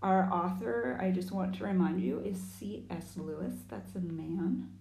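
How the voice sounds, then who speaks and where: A woman with a slightly gruff, growly voice reading a chapter book aloud, pausing to chat with young listeners. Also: lowish voice, slight background noise. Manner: warm asides to young listeners. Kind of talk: storybook reading with chatty asides. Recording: echoey room.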